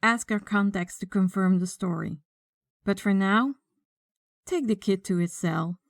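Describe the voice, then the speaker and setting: Woman acting a slow-spoken, commanding female mafia boss. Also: mid-range voice, vocal fry, no echo, clean studio sound. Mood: calm, controlled. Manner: slow, deliberate, authoritative. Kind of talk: ASMR audio roleplay acting.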